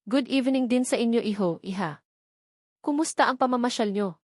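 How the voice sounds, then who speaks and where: A woman's voice: on the high side, a little fast, no room sound, clean studio sound, pitched above the performer's own voice, natural voice. A woman with a Filipino accent voicing a kindly, hospitable middle-aged mother in a romance story. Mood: welcoming.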